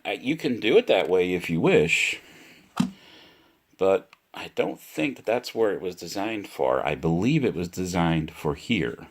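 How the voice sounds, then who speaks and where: A man giving a casual, unscripted product demonstration, speaking deliberately and repeating himself for emphasis. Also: deep voice. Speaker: product reviewer.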